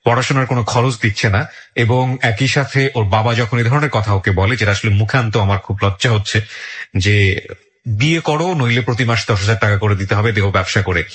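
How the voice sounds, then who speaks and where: A man's voice: lowish voice, a little fast, a bit bright. A man with a Bangladeshi accent hosting a radio interview, addressing listeners and mixing in English phrases. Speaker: radio show host.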